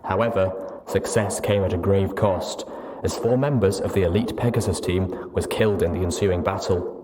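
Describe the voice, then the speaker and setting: A man's voice: deep voice, moderate pace, background noise. A man reading a first-person story aloud as a dramatic audiobook narration. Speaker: audiobook reader.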